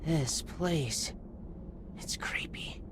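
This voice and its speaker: A man's voice: fairly high pitch, very slow, whispered. An actor playing an earnest, loyal teenage Spartan boy in a video game, questioning and sincere. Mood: uneasy. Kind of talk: cinematic video game acting. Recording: music behind the voice.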